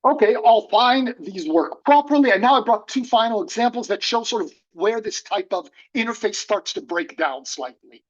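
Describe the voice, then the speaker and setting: Panel speaker, mid pitch, halting and reflective, lo-fi online call. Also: animated. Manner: hesitant, thoughtful, many fillers. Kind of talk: online academic presentation. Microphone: video call.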